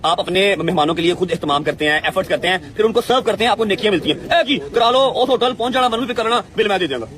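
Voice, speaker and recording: A man's voice: high-pitched, few pauses. A man with a Pakistani Punjabi accent chatting casually as a vlog host, repeating himself for emphasis. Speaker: vlogger and host. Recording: lively crowd chatter behind. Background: people chattering at a meal gathering.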